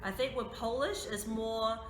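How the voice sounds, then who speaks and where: A woman from Taiwan giving thoughtful, measured answers in an interview. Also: on the high side, steady tone. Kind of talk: casual street interview answers. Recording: echoey room with faint music.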